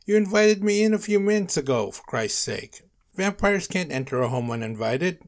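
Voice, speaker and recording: A man's voice: mid-range voice, lively intonation, normal speed, lightly acted. A man voicing a frustrated vampire monster in a story, complaining in an exasperated way. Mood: frantic yelling. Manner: exasperated, shouting his complaints.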